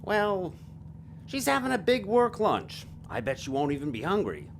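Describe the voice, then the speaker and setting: Casting: male actor for soft-hearted, unsure family man in an audio drama; lively intonation, slight background noise. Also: high-pitched, moderate pace, gravelly, full character voice. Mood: playful, fatherly. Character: gentle, self-doubting married father and fading performer.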